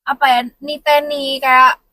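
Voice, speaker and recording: Bright high-voiced young woman. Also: flat delivery, a little slow, dry recording. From Solo, Central Java. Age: young adult. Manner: chatty, questioning, lots of fillers. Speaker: host asking the questions. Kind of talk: casual group chat.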